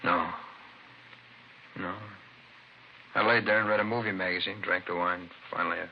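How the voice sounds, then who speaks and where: A man with an American accent playing a weary, aggrieved killer confessing to police in a halting mumble, in the style of 1950s radio crime drama. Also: sits low, fairly even intonation. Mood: flat, weary. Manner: halting, mumbling, self-justifying. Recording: muffled, hissy vintage radio broadcast recording.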